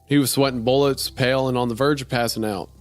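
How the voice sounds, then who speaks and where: Deadpan night-shift clerk, read by a man, dry first-person horror storytelling, medium pitch, music underneath. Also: fast, clear, resonant tone, close to an everyday voice. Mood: dry, puzzled. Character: deadpan gas station night clerk narrating strange events. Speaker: podcast host reading a horror story aloud. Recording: music behind the voice.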